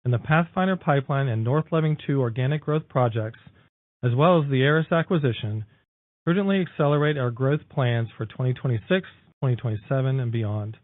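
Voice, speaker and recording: A man's voice: medium pitch, a little fast, dry recording. Man reading formal remarks on phone line. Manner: formal, reading prepared text. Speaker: company executive. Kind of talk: scripted earnings call remarks.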